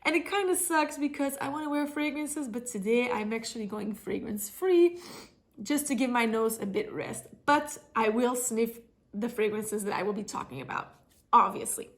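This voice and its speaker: A woman's voice: on the high side, moderate pace. Chatty woman with a stuffy nose. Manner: chatty, many fillers and self-corrections. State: congested from a cold, slightly nasal. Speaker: fragrance vlogger. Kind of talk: casual review chat.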